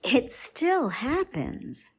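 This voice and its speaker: A woman playing a practical, reassuring friend in a radio play, matter-of-fact and gently teasing. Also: sits high, very animated, drawn-out words, husky, heavily acted. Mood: concerned, reassuring.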